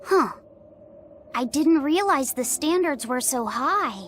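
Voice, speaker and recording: Casting: polite young boy for a game, high voice, slow and hesitant, childlike curiosity. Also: lively intonation, a little hiss or hum. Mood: curious, earnest. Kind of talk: English video game dub acting.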